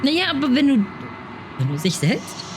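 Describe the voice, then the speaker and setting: A woman's voice: sits high, huge pitch swings, moderate pace. German woman casually playing through a game. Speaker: let's play streamer. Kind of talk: casual game playthrough, reading choices aloud. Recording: game music behind the voice.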